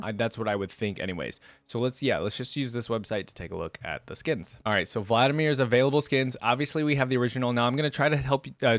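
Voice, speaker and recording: A man with a mid-range voice hosts in a casual, off-the-cuff way. He chats informally and thinks out loud as he reacts. The sound is dry, with no room on it. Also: mid-range voice, no room sound. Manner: informal, chatty, thinks aloud. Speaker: host of a reaction video.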